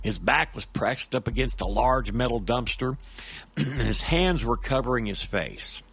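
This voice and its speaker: An actor playing a nervy, rambling street-wise man who tells a horror story in a halting, stammering, conversational way, with music behind him. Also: mid-range voice, vocal fry, a bit bright, breathy edge, full character voice. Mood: hushed storytelling. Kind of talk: audio drama first-person horror monologue.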